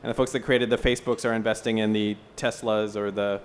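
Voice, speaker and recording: A man speaking as a conference panelist, explaining ideas in a measured, articulate way with asides. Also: lowish voice, little pitch movement, a little hiss or hum. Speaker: panel speaker from an entrepreneurship foundation. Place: conference session room.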